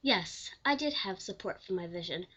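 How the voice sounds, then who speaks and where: A woman playing an elderly, proud pioneering lawyer and commissioner in a scripted interview, speaking formally and deliberately. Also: on the low side, animated, sharp tone, husky, muffled recording, exaggerated voice. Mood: earnest. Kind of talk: scripted mock interview, reenacted historical figure.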